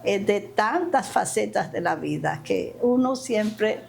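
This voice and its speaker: An older woman with a Dominican accent talks as an interview guest. Her voice sits at a medium pitch, and she tells things in an emphatic, storytelling way, with light ambient noise behind her. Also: slow, creaky. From Dominican Republic, Santiago and the capital. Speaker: interview guest, community leader. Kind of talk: conversational interview, reminiscing. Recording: steady ambient background noise.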